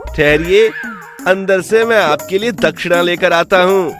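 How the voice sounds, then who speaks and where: A man plays a crafty village trickster for an animated folk tale. His voice sits high and his delivery is smooth-talking and exaggerated. Light music runs underneath. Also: unhurried. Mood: polite scheming. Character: cunning village swindler man, smooth-talking, theatrically wailing schemer. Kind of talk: animated Hindi storytelling dialogue.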